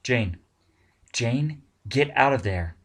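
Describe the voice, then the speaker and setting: A woman's voice: deep voice, sing-song, fairly quick, slightly rough, pitched above the performer's own voice, heavily acted. A man reading aloud, voicing a woman crew member who speaks earnestly. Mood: urgent. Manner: urgent.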